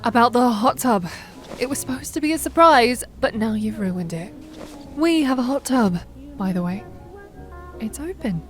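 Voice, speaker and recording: A woman acting a young, sardonic and guarded daughter in a naturalistic audio drama, with dry, deadpan teasing. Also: fairly high pitch, moderate pace, soft background music, lightly acted. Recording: noticeable background noise and ambience.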